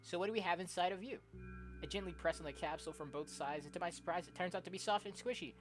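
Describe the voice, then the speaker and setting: A woman streamer doing a let's-play, reading visual novel text aloud with casual, slangy, expressive delivery. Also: fairly low pitch, fast talker. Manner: expressive, often giggly, slangy. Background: game music.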